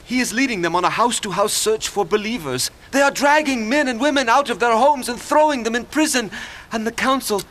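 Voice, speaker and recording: An actor playing an earnest, distraught believer who brings grim news, haltingly and gravely, in an audio drama. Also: male voice, very high-pitched, fairly bright tone. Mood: urgent, alarmed.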